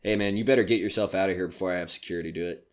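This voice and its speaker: A man's voice: low-pitched, steady tone, very fast, natural voice. A man playing a jaded, foul-mouthed nightclub comedian in an audio drama, brash, curt and grumbling. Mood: irritated, guarded.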